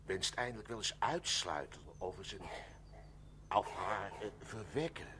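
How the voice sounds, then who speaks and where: An actor playing a pompous, pushy stranger in a Dutch radio play, stammering, repeating himself and talking floridly. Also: male voice, lowish voice, lively intonation, a little slow, noisy background. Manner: stammering, repetitive, florid and insistent.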